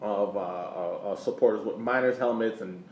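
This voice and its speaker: Male podcast co-host, halting explanatory delivery, casual sports talk, roomy recording with some background noise. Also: lowish voice, a little mellow.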